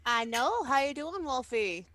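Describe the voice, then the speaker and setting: A woman's voice: high voice, big pitch swings, a little slow, bright, forceful tone, slight background noise. A slightly tired woman chatting casually as she joins an online group. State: a little tired. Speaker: book club member joining online. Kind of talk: casual greeting chat.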